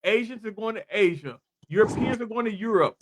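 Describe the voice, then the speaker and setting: A man's voice: high-pitched, lively intonation, fairly quick, noisy background. A man hosting a casual panel debate, insistent, throwing out questions and repeating his points. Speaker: podcast panel host.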